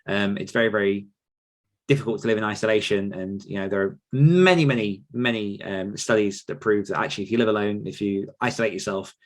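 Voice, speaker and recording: Male podcast guest, lower-pitched voice, conversational delivery, thoughtful and explanatory. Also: brisk. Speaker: podcast guest, author and writing coach. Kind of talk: conversational interview answers.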